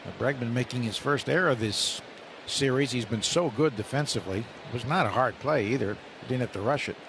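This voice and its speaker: A man doing radio play-by-play of a baseball game, rising sharply in pitch when calling live action. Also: a little fast. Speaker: play-by-play announcer. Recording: radio broadcast with loud ballpark noise.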